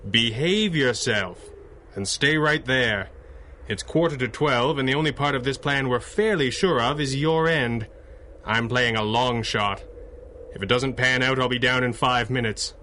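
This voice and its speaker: Commanding male pulp superhero, dry wit, forceful bright voice, noisy background. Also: medium pitch, measured pace. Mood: brisk, dry. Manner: authoritative, dryly witty. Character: commanding masked 1930s male superhero with hypnotic powers. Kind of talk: radio drama acting in a pulp serial style.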